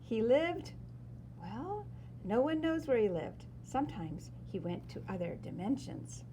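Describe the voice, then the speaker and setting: A woman reads a children's story aloud expressively, with dramatic emphasis and pauses. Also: on the high side, big pitch swings, noisy background. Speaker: storyteller reading a picture book aloud.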